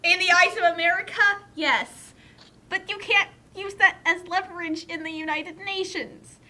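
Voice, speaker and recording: A woman's voice: extremely high pitch, fairly even intonation, normal speed, sharp tone. A young adult woman giving casual comedic commentary in an emphatic, nerdy, argumentative way.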